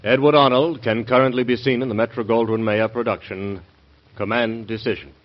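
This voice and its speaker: A man's voice: medium pitch, fairly even intonation, brisk. A man reading scripted credits as a 1940s radio announcer. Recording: dull vintage radio broadcast. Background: orchestral music.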